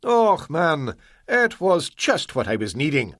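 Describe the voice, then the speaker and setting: A man's voice: fairly high pitch, very animated, slow pace, fairly bright tone, no room sound, studio quality, pitched above the performer's own voice, exaggerated voice. Storybook role: a cunning Scottish engineer, dogged and wheedling, put on by a male narrator. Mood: gruff. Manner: broad dialect, stubborn and sly.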